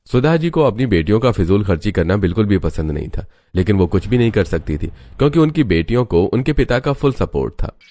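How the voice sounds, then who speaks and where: A man's voice: fairly low pitch, big pitch swings, fairly quick, few pauses, warm tone. A man narrating a scripted moral story as its storyteller.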